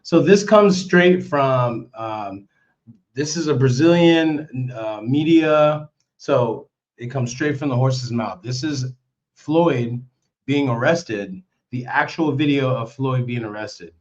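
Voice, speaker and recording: A young man hosts a casual live stream, his voice hoarse and worn out. He talks in an excitable, chatty way and repeats himself often. The audio is slightly dull and lo-fi, like a home computer mic. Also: mid-range voice, takes it slowly.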